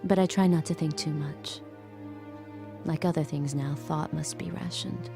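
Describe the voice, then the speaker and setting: Subdued, low-voiced, creaky handmaid. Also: female voice, fairly even intonation, a little slow. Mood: sombre, reflective. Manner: hesitant and guarded in dialogue, reflective in narration. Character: subdued enslaved handmaid, a grieving mother, quietly longing. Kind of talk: audio drama acting with intimate first-person narration. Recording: music behind the voice.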